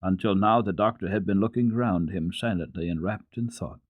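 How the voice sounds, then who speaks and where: A man giving a measured, dramatic reading of a children's story as a radio storyteller. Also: sits low, no room sound, phone-quality sound. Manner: expressive, measured storytelling delivery. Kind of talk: dramatic scripted narration of a children's book.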